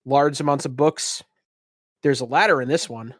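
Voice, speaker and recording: A man's voice: medium pitch, normal speed, a bit bright, no echo, studio quality. A man working as game master, improvising casual narration for a tabletop game.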